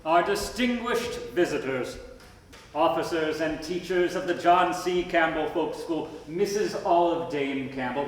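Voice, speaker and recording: An actor playing an earnest, dignified 1920s small-town lawyer giving a solemn, measured dedication speech on stage. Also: male voice, fairly high pitch. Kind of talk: formal ceremonial oratory on stage. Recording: very echoey hall with long reverb.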